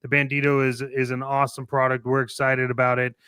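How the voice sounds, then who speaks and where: A man co-hosting a toy collectors' show, giving casual, playful promotional shout-outs like a pitchman. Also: monotone, brisk, smooth, no echo, studio quality.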